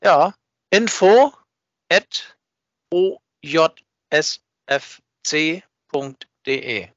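A man's voice: fairly high pitch, expressive, drawn-out words, no echo. A man talking casually and unscripted as co-host of a fan podcast. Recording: dull, dry, band-limited audio.

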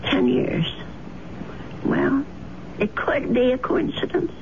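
An earnest elderly landlady in a vintage radio drama, with a highish, very expressive voice that swings with worry. She is polite, hesitant and gently persistent, heard through a dull, faintly hissy broadcast recording. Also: slow pace, full character voice. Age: older. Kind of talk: 1970s radio drama acting.

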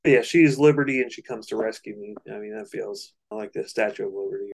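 A man co-hosting a casual music discussion, analytical and somewhat halting as he thinks aloud. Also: on the low side, gravelly. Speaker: co-host of a music discussion show.

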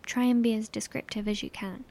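An actress playing a calm, reassuring woman who takes down a survivor's testimony in a horror audio drama, gentle and encouraging. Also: fairly high pitch, fairly quick. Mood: gently encouraging.

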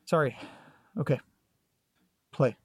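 A man's voice: slow, slight background noise, natural voice. A man acting in an audio drama as a guarded, sarcastic grandson who is detached from family trauma, speaking in a dry, hesitant, clipped way. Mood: wry.

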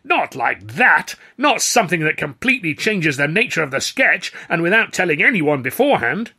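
A man's voice: on the high side, sing-song, normal speed, creaky, sharp tone, husky, pitched above the performer's own voice, full character voice. A man voicing a vain, pompous comedy star in an audiobook, indignant and blustering, with emphatic, self-important delivery. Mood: furious, spluttering.

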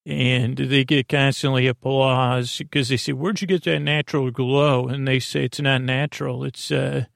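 A man hosting a bedtime podcast in a creaky voice, meandering and goofy, with hesitant restarts and tangled tangents. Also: mid-range voice, level tone, normal speed, fairly bright tone, no room sound, clean studio sound. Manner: goofy, silly. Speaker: podcast host. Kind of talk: rambling bedtime story podcast monologue.

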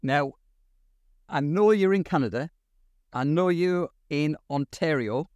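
A man hosting an interview podcast, speaking in formal, measured phrases. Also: on the high side, darkish tone, no echo. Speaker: podcast host, university lecturer. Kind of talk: scripted introduction and interview questions.

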